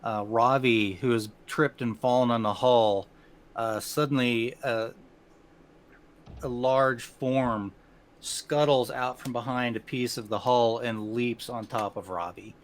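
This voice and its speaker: Halting male game master. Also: medium pitch, steady tone. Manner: halting, often rephrasing mid-sentence. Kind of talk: improvised narration of a tabletop game.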